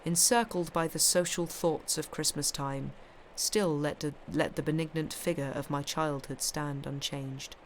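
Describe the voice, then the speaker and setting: A woman's voice: deep voice, steady tone, unhurried, few pauses, a little hiss or hum, low-quality recording. A woman hosting a live stream of festive readings from home, speaking into a streaming microphone. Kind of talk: expressive literary reading aloud; casual live-stream chat.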